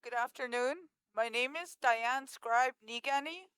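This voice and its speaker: A woman's voice: fairly high pitch, measured pace, a bit bright, clean tone, dry recording. A woman from Manitoba speaking plainly and steadily to an audience about her family. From Manitoba, Canada. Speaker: speaker at a community event. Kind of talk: personal remarks to an audience.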